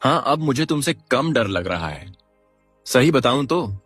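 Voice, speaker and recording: A man's voice: mid-range voice, very animated, unhurried, a bit bright, dry recording, soft background music. A man playing an honest, simple village farmer in a cartoon story, sincere and questioning. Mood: nervous, puzzled.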